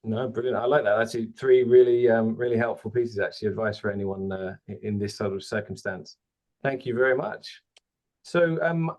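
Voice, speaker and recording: A man's voice: on the low side, darkish tone. A man hosting an online panel as a recruiter, chatty with many fillers, on a video call. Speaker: host, recruiter.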